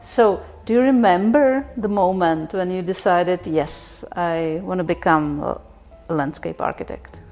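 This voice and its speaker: A woman with a slightly low voice hosts a podcast interview. She talks in a chatty, conversational way and encourages her guest with follow-up questions. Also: measured pace, a little mellow, faint music behind. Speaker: podcast host.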